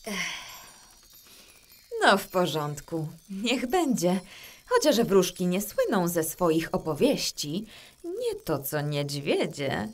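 A woman voicing a kindly female forest fairy who tells a tale in a children's audio drama, warm and chatty. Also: fairly low pitch, wildly swooping pitch, slow, heavily acted. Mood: friendly. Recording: soft music underneath.

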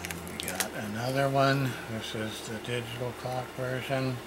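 A man's voice: mid-range voice, normal speed. A middle-aged man chatting casually during an unboxing at home, muttering to himself in short fragments. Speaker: hobbyist unboxing radio parts. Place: cluttered room at home, indoors. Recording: clearly noisy room recording.

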